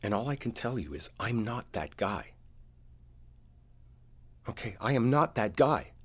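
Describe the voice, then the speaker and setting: A man playing a wounded, devoted boyfriend in an intimate audio roleplay, speaking in a halting, confiding, earnest way. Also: medium pitch, sing-song. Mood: earnest reassurance. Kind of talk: boyfriend ASMR roleplay, intimate one-sided dialogue.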